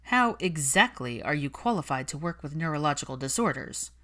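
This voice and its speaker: A woman's voice: sits low, expressive, brisk, pushed and bright. Woman voicing a cold posh man, dry drawl. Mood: cold, challenging. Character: cold, irritated, posh young man, disdainful. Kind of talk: audiobook character dialogue.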